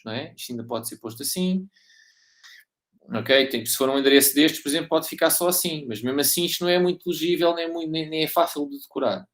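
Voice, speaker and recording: A man with a European Portuguese accent giving an informal technical lecture, often repeating and rephrasing himself and checking that listeners follow. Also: a little high. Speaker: university lecturer.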